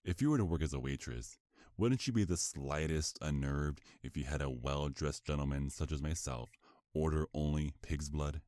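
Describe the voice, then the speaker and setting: A man playing a sinister, condescending vampire mafia boss in an ASMR roleplay, speaking in a formal, menacing, drawn-out way. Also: rumbling low, darkish tone, no room sound, studio quality. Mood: smug, explanatory.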